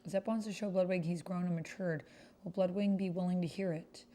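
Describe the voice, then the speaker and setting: A woman's voice: on the low side, fairly even intonation, a little fast, warm tone. A woman hosting a livestreamed text roleplay game, chatting directly with viewers and reading posts aloud.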